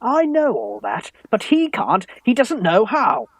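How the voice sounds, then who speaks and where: A man with a British accent playing an anxious young Victorian gentleman in a radio farce, worried and earnest. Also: extremely high pitch, sing-song, unhurried, dry recording, exaggerated voice. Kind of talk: Victorian stage farce, radio play acting.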